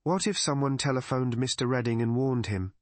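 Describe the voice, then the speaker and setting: A man voicing a shrewd, calm elderly woman detective in an audiobook mystery, explaining in a measured, methodical way. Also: mid-range voice, no echo, lightly acted. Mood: gently scheming.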